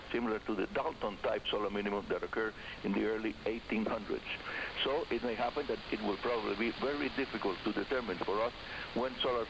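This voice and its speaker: A man with a Cuban accent, a native Spanish speaker, hosting a radio hobby program in a deliberate announcing style over a telephone line on shortwave radio. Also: fairly low pitch. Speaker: radio host. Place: on a phone line linked to the studio. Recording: hissy, noisy shortwave broadcast, telephone-line audio.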